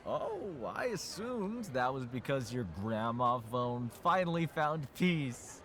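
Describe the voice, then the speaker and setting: An actor playing a drunk, teasing brother at a beer festival in a video game, with a slurred, joking drawl. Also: male voice, medium pitch, big pitch swings, slow, a bit bright. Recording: music behind the voice.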